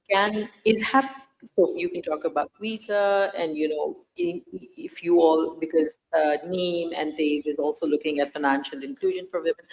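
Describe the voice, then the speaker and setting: A woman moderates a live panel. Her voice sits a little low, and she takes a wordy, circling route to each question. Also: rough recording.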